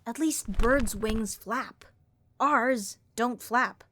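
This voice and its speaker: A woman voicing a bored, snarky harpy copilot in a comedic audio roleplay, with a raspy vocal fry and overdramatic, deadpan complaints. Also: on the high side, extremely slow, noisy background. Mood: bored, complaining. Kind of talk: comedic audio roleplay monologue addressed to listener.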